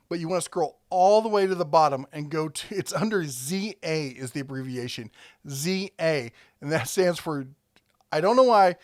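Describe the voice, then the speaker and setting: A man's voice: on the high side, dry recording, clean studio sound. A man hosting a casual product review, talking conversationally and thinking aloud as he rambles along.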